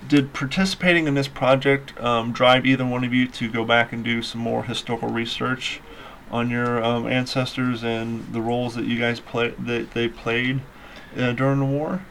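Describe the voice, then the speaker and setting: A man's voice: mid-range voice, little pitch movement, moderate pace, creaky, a bit bright, a little hiss or hum. Rambling, chatty male interviewer. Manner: talkative, long rambling questions. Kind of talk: interview, praising and asking questions.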